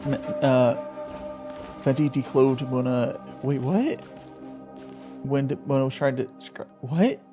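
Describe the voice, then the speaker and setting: A man's voice: medium pitch, takes it slowly, long pauses. A man streaming a game, rambling and joking casually. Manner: rambling, joking, laughs often. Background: game music.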